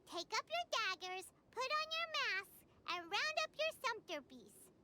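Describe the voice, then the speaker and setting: A woman voicing a tiny, childlike, excitable and blunt game companion in an expressive, squeaky way. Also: a little slow, very noisy, heavily acted. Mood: mock-grand reading. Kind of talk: video game voice acting.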